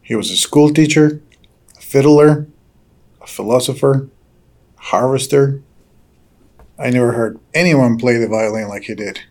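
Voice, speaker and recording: An actor playing an older farm patriarch in an audio drama, courteous but probing, telling things in a folksy, unhurried way. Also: male voice, mid-range voice, a little mellow, lightly acted. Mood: fond, reminiscing. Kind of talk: naturalistic audio drama dialogue.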